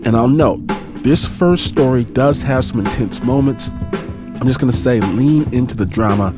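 Male podcast host over loud music. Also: mid-range voice, normal speed. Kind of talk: scripted introduction.

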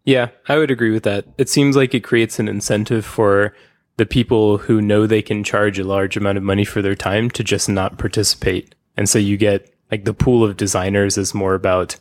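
A man in casual conversation, speaking candidly about his work with relaxed asides. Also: fairly low pitch, no room sound. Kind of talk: casual chat.

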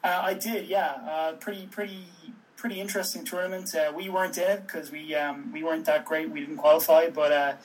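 A man from Dublin with an Irish accent, talking as an interview guest in a tentative way with frequent pauses. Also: on the high side, fairly even intonation, a little hiss or hum, poor audio. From Dublin, Ireland. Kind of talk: conversational podcast interview.

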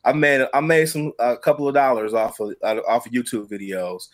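A man's voice: mid-range voice, steady tone, fairly quick. A man with an American accent casually telling stories and giving advice in an emphatic, colloquial way as a guest musician. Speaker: musician and producer, podcast guest.

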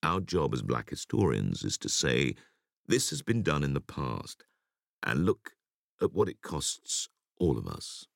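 A man reading an interview essay for an audiobook in a measured, emphatic way with deliberate pauses. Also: a bass voice, big pitch swings, creaky, no room sound, studio quality. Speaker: audiobook reader.

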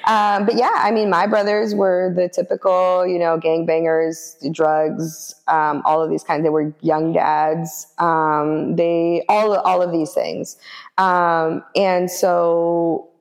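Podcast guest, woman from Oakland, low and gravelly, thoughtful and laughs easily, roomy reverb. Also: little pitch movement, slow pace. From Oakland, California. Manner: reflective, many fillers and restarts, laughs easily. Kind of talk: casual podcast conversation.

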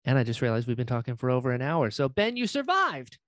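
A man hosts a casual interview in a very lively, swooping voice of ordinary male pitch. He is enthusiastic and quick to affirm what he hears. The recording is close and dry. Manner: enthusiastic, affirming, echoes the guest. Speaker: podcast host.

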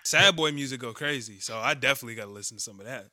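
A man's voice: mid-range voice, rapid-fire, pushed and bright, no echo. A man hosting a casual interview over a video call, laid-back and slangy. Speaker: interview host.

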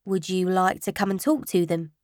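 A woman's voice: on the low side, normal speed, a little mellow, dry recording, studio quality, natural voice. Woman doing a clipped male history teacher. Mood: awkward, hesitant. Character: stern, awkward male high school history teacher.

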